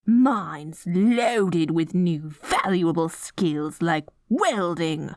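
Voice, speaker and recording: A woman's voice: on the low side, animated, drawn-out words, darkish tone, no room sound, clean studio sound. A woman playing a dorky, melodramatic young evil queen in an audio roleplay, stammering when flustered and grandiose in her villainy. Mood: gleefully scheming. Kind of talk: scripted ASMR audio roleplay.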